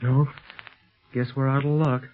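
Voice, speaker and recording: A man's voice: mid-range voice, flat delivery, a little slow, soft background music, natural voice. An actor playing a plucky fifteen-year-old American boy adventurer in a 1930s radio serial, with an earnest, gee-whiz eagerness. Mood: wary, keen. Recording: muffled, scratchy 1930s radio recording.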